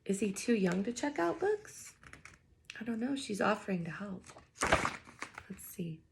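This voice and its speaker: A woman with heavy vocal fry reads a children's story aloud. She is expressive in her delivery and breaks off now and then to muse aloud like a teacher. Her pitch sits in an ordinary range for a woman. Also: measured pace, a little hiss or hum. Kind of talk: children's picture book read-aloud with wondering asides.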